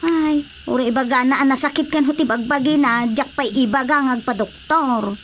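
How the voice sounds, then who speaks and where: A woman playing a lively, defensive wife who dotes on her cat, in an Ilocano radio drama comedy with an Ilocano accent. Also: high-pitched, moderate pace, slight background noise. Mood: complaining.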